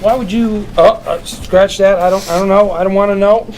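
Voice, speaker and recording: A man's voice: high-pitched, fairly even intonation, normal speed, darkish tone, close to an everyday voice. A man playing a glib, nervous lawyer with wheedling, stammering patter at a script table read. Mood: uneasy. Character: glib, nervous, fast-talking male lawyer. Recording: noisy room ambience.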